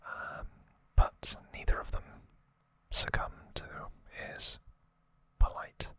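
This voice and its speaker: A man softly whispering an ASMR recap in a breathy, unvoiced voice, with an unhurried, halting delivery and pauses. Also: no echo. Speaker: ASMR host.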